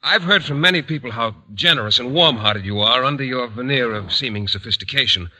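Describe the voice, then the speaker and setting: A man's voice: medium pitch, a little fast, sharp tone. An actor playing an earnest, naive male biology teacher in an old radio comedy, polite and a little hesitant. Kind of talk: 1940s-50s radio comedy acting. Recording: noisy old radio recording with clicks.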